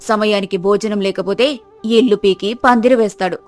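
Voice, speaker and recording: A woman narrating a children's moral story in a lively, expressive storybook style. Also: mid-range voice, normal speed, faint music behind.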